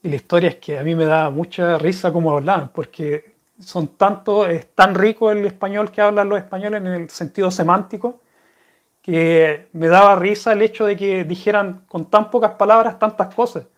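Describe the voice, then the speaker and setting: A man's voice: high voice, fairly even intonation, normal speed. A man with a Chilean accent, chatting casually to viewers and answering their questions in a rambling, friendly way. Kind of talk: casual chat to camera.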